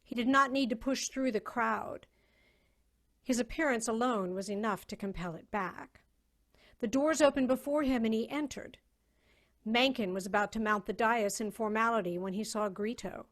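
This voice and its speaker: A woman narrator giving a measured, literary dramatic reading of a story over a video call. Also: on the high side.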